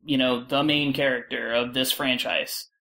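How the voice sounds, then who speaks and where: A man's voice: medium pitch, steady tone, normal speed, vocal fry, bright, forceful tone. A man speaking casually and bluntly as a panelist in a loose discussion.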